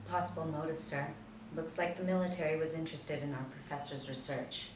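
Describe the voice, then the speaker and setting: A woman's voice: sits low, fairly quick, natural voice. A young woman playing a formal, dutiful FBI agent at a script table reading. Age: young adult. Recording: echoey room, distant mic, background noise.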